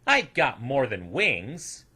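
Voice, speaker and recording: A man's voice: mid-range voice, huge pitch swings, slow pace, pushed and bright, slightly rough, full character voice. A man voicing a small, young, naive storybook creature, earnest and easily wounded, with a childlike manner. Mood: wistful wonder.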